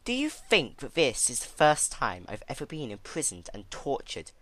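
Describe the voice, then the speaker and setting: An ancient pony princess played by a man, unhinged and theatrical, in a dramatic fan fiction reading. Her pitch is lifted and leaps about, with a bright edge, as she chats with drawn-out emphasis about her suffering. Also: huge pitch swings. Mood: gleefully manic. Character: ancient immortal pony princess, female, unhinged, gleefully recalling torment.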